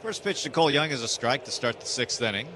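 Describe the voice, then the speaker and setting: A man's voice: medium pitch, lively intonation, fast talker, pushed and bright, a little hiss or hum. A man doing live radio play-by-play commentary of a baseball game from a broadcast booth.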